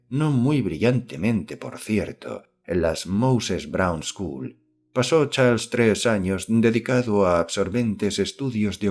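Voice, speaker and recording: A man reading a literary horror story as an audiobook narrator, in measured, formal scripted narration. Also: lowish voice, a little mellow.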